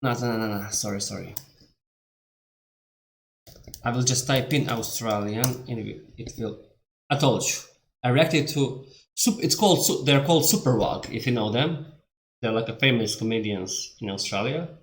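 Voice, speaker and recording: A man's voice: medium pitch, normal speed. A man from Montenegro with a Montenegrin accent and Serbian as his native language, giving casual reaction commentary in a rambling, candid way. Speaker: reaction video host. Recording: echoey room.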